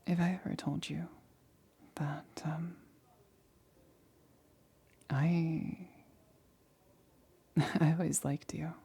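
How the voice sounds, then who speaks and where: Woman acting a breathy, whispering, anxious lab assistant. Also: low-pitched, little pitch movement, mellow, exaggerated voice. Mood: woozy, affectionate. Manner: halting, hesitant, stammering. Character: anxious, lonely female lab assistant growing woozy and clingy. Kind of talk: ASMR roleplay, soft intimate whispering.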